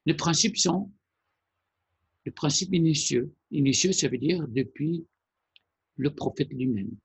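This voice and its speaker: Male presenter, measured and hesitant, husky low-energy delivery with a dry sound. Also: dry recording. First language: Persian. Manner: deliberate, halting phrasing with pauses. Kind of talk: explanatory monologue.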